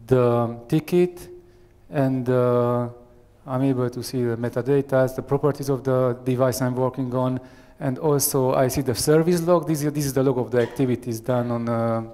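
A man in his thirties with a Romanian accent gives a live software demo on a headset mic, hesitant and full of fillers. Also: medium pitch, steady tone, normal speed. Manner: hesitant, many fillers and restarts. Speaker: software architect giving a demo. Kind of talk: live tech demo presentation. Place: large conference room with audience. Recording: long reverb of a large hall.

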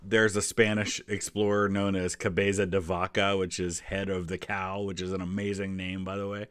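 A man's voice: deep voice, level tone, moderate pace, a bit bright, clean tone, dry recording, studio quality. A middle-aged American man giving casual history commentary, chatty and inclined to explain things with little digressions. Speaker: history video host.

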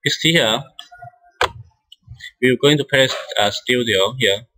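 Give this to a man with a bright, forward voice and a middling pitch. He is a non-native English speaker walking through software step by step. His phrasing is halting, and he often restarts. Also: poor audio. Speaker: instructor demonstrating software.

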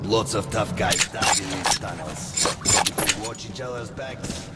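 An actor playing a coarse, shady middle-aged smuggler in a post-apocalyptic video game. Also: male voice, fairly low pitch, unhurried, heavy background noise, lightly acted. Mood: wary. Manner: coarse, swearing, streetwise. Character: gruff, shady middle-aged male smuggler in a ruined underground world. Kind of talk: video game character acting. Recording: loud game music over the voice.

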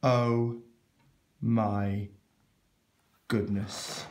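A man's voice: on the low side, flat delivery, extremely slow, a little hiss or hum. A man reading a children's book aloud in a theatrical, exaggerated, mock-exasperated way. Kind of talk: animated children's story read-aloud. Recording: echoey room.

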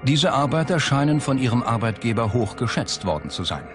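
A man's voice: mid-range voice, very animated, brisk. A man reading scripted documentary narration as the narrator. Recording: music bed under the voice. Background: background music.

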